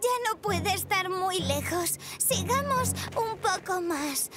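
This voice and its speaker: Very high, headstrong little girl. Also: slow, over loud music, full character voice. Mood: determined. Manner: bossy, excitable, wheedling. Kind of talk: animated children's cartoon dub.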